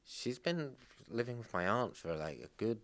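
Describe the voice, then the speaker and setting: A middle-aged man with an English accent, Persian his first language, talking casually as a podcast guest in a halting, rambling way full of restarts. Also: mid-range voice, sing-song, fairly bright tone. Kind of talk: casual conversation.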